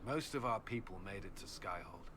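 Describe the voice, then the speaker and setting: An actor playing a dutiful, stressed military commander in a video game, stern, earnest and clipped. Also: male voice, low voice, lively intonation, brisk, a bit bright, slight background noise. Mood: grave, resolute. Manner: clipped, resolute.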